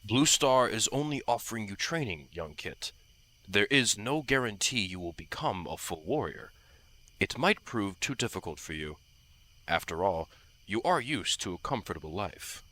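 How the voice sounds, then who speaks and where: A man voicing a grave, proud male warrior cat, speaking formally and deliberately in an audiobook drama. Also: on the low side, sing-song, sharp tone. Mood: cautioning. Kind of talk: audiobook fandub acting.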